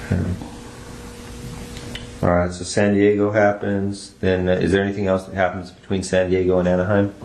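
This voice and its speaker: A man questioning someone as a police interrogator, going step by step in a halting way. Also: low voice, completely flat delivery, a little mellow. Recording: echoey room tape, faint noise.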